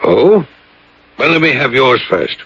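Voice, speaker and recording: Radio mystery colonel: gravelly, animated, earnest and ominous, on a dull old broadcast. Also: male voice, medium pitch, measured pace, full character voice. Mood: genial. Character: earnest, superstitious retired colonel, male, grave storyteller. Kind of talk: radio drama acting.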